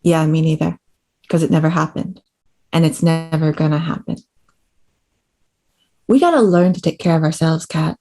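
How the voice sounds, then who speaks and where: A woman's voice: low-pitched, moderate pace, long pauses. A woman acting a tough, cynical young frontier sister in a script read, with a folksy, plainspoken delivery. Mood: bitter, defiant. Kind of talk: table read of a screenplay.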